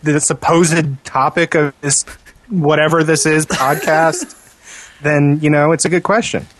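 A man's voice: on the high side, steady tone, a little slow, a bit bright, no echo. A man co-hosting a podcast in casual chat, with a dry, tongue-in-cheek manner. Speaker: podcast co-host.

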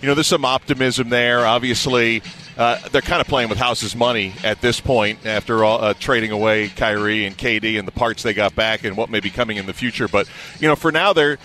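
A man's voice: mid-range voice, fairly quick, sharp tone. A man working as a play-by-play announcer, giving conversational analysis in a sports radio interview.

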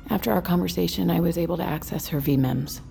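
Audio drama, conspiratorial researcher, gravelly fry on a lowish voice, earnest persuasion. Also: female voice, fast, dark tone, slight background noise.

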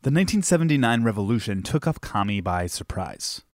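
A man's voice: fairly low pitch, big pitch swings, a little fast, no room sound, clean studio sound. A man hosting and narrating a scripted history documentary. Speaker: podcast host and narrator.

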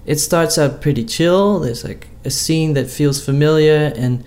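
A man's voice: fairly high pitch, unhurried, darkish tone. A man co-hosting a casual chat, talking in a hesitant way with many fillers and restarts.

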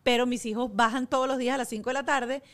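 A middle-aged woman with a Venezuelan accent, a TV presenter and actress telling stories in an animated, self-mocking way as a guest on a chat show. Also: fairly high pitch, quick pace. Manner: animated, self-mocking storyteller who acts out quotes. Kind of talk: casual chat, storytelling.

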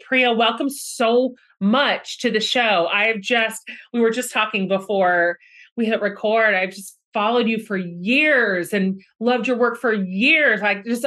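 Woman host in her thirties; effusive, chatty interviewer with a bright, forward voice. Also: fairly high pitch, unhurried. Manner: effusive, chatty, lots of "like" and "kind of". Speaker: podcast host. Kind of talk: enthusiastic interview hosting.

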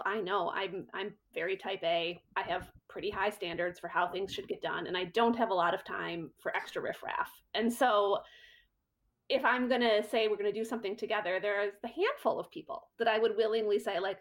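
A woman's voice: mid-range voice, brisk, fairly bright tone. A middle-aged woman, a costume designer, telling a story in casual interview talk and acting out her own quoted thoughts.

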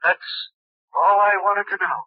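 High-pitched, desperate man phoning. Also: a little slow, no echo. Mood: anxious, desperate. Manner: nervous, hesitant. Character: anxious, desperate male insurance policyholder on telephone. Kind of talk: 1940s radio drama acting. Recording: dull, dry old radio recording.

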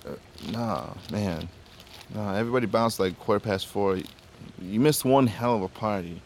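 An actor playing a laid-back, evasive young party drug dealer who talks in stoner slang, in a naturalistic audio drama. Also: male voice, fairly low pitch, normal speed, lightly acted. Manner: slangy stoner talk, peppered with bro and man. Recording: faint ambient background noise.

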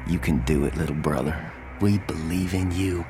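An actor playing a shady, breezily ruthless older brother and political fixer in an audio drama, with a folksy, glib and coarse manner. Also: male voice, extremely low pitch, normal speed, music in the background. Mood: encouraging.

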